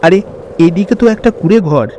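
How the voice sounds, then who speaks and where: Man playing an earnest, high-voiced young villager. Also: expressive, fast, mellow, lo-fi sound, a little hiss or hum. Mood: puzzled. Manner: earnest, exclaiming.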